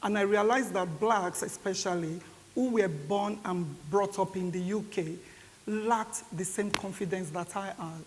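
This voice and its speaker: An older woman with a Nigerian accent giving measured remarks as a panellist, point by point. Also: mid-range voice. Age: 50s or older. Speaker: conference panellist. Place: reverberant indoor event hall. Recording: echoey hall with clear background hiss.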